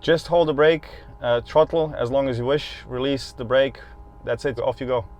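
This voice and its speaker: A man with a Croatian accent, a test driver, speaking in a calm, dry, teasing way. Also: mid-range voice, moderate pace.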